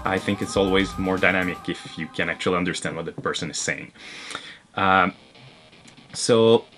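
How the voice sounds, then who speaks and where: A man's voice: low voice, normal speed, a bit bright, soft background music. A man with a French Canadian accent, French his first language, hosting a casual vlog and talking hesitantly with many fillers. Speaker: host.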